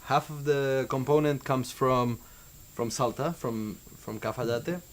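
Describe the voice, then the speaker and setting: A young man with an Argentine accent, a winemaker answering interview questions in a measured way, with fillers and restarts. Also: mid-range voice, fairly even intonation. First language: Spanish. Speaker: winemaker guest.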